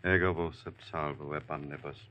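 Medieval priest: rock-bottom pitch, hushed intoning, dull vintage radio sound. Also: male voice, steady tone, a little fast. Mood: solemn. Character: solemn medieval priest giving last rites. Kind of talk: 1940s radio acting. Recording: muffled old radio recording.

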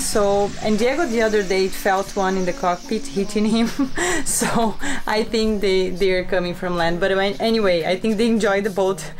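A woman's voice: medium pitch, poor audio. A woman in her thirties talking casually to a handheld camera inside a small wooden boat cabin, with lots of "like" fillers and easy laughter. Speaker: sailing vlogger. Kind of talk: casual vlog talk to camera. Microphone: handheld camera at arm's length.

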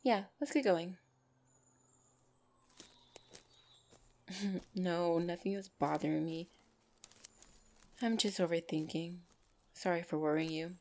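A woman playing a gentle, shy orc lover in an ASMR roleplay, speaking softly and hesitantly with tender pauses. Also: fairly low pitch. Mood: affectionate, slightly nervous.

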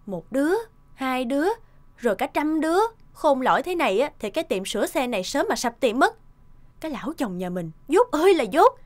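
A woman playing a sharp-tongued but caring young mother who minds a repair shop, in an expressive animated cartoon dub. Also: sits high, brisk. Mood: annoyed. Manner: expressive, scolding or fussing. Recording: music behind the voice.

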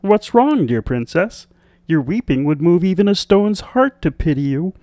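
A man's voice: high voice, expressive, moderate pace, mellow, no room sound, studio quality, pitched above the performer's own voice. A man voicing a small male frog in a fairy tale, courteous, pleading and insistent. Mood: coaxing, sympathetic. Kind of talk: storybook character voice.

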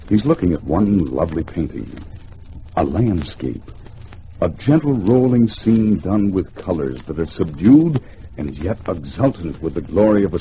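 A man narrating a 1950s radio thriller in a theatrical, dramatic way, with deliberate pauses. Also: deep voice, warm tone. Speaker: radio storyteller. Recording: dull, faintly noisy old radio recording.

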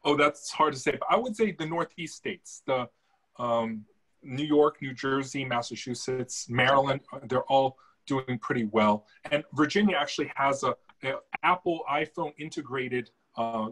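A middle-aged man, a health expert, answering on a video panel in quick answers that list facts. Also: medium pitch, a bit bright, a little hiss or hum, low-quality recording. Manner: quick, fact-listing answers. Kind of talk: panel discussion. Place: home room. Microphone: video call.

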